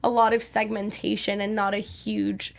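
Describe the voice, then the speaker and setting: A woman's voice: mid-range voice, level tone, moderate pace, gravelly. A young adult woman co-hosting a TV recap show, talking in a chatty way with many fillers. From United States. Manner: chatty, many likes and I means. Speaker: co-host. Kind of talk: casual TV recap chat.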